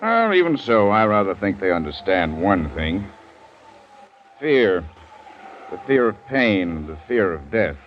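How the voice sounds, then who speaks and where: A slightly noisy, dull vintage radio recording of an uneasy gentleman hunter. The man's lowish voice swings widely in pitch as he muses hesitantly, like a thoughtful companion in a 1940s adventure play. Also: very animated, unhurried, long pauses. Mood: musing. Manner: musing, hesitant. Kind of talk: 1940s radio drama acting.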